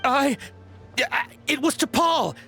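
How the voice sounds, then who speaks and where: A young henchman from a video game, with a high, sharp voice. He stammers and pleads like a coward desperate to appease, with faint music behind him. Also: lively intonation, takes it slowly, heavily acted. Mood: nervous, pleading. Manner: stammering, panicky, eager to appease. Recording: music under the voice.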